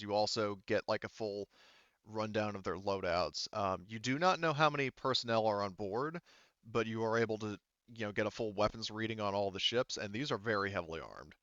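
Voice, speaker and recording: A man with a smooth, lowish voice works as game master, talking casually and feeling his way through explanations. The sound is close and dry, slightly dull. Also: a bit bright, dry recording. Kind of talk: improvised tabletop game narration and rulings.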